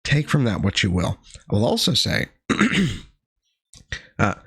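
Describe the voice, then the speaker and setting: A man with a gravelly voice still recovering from being sick, reviewing a horror film with dry sardonic asides and frequent pauses. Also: on the low side, fairly bright tone, no room sound. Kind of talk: casual video review monologue.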